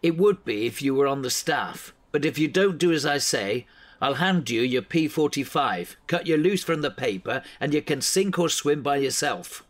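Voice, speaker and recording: Blunt, exasperated newspaper editor, played by an actor. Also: male voice, medium pitch, lively intonation, normal speed, natural voice. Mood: stern. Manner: scolding, blunt, authoritative. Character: exasperated, bossy male local newspaper editor. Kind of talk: audio drama acting.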